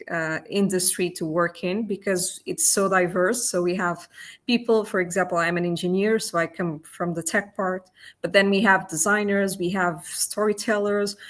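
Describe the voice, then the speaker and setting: A woman from Portugal, an engineer speaking on a panel, earnest and pausing often to find her words. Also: medium pitch. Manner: earnest, searching for words with frequent restarts. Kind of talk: panel discussion.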